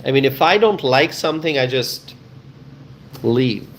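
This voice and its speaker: Clear background noise sits around a man's slow, halting voice as he hosts a casual live stream. He often repeats words and trails into pauses while addressing his viewers. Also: mid-range voice, expressive.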